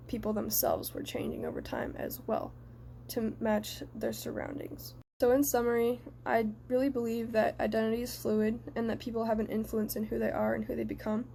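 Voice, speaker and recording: A young woman from the United States giving a prepared personal reflection in a measured, earnest way. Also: a little high, level tone, vocal fry, mellow, slight background noise.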